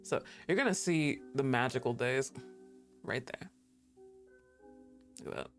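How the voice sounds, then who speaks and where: A male streamer chatting casually to his viewers, excitable, laughing often and swearing freely. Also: medium pitch, unhurried. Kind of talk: casual livestream chat. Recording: faint music behind the voice.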